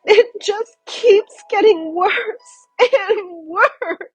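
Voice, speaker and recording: A woman's voice: extremely high pitch, extremely slow, no echo, muffled recording, soft background music, pitched above the performer's own voice, full character voice. A woman voicing a distraught, anxious mother in a children's storybook, fretful and at her wits' end. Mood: wailing despair. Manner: wailing, anxious.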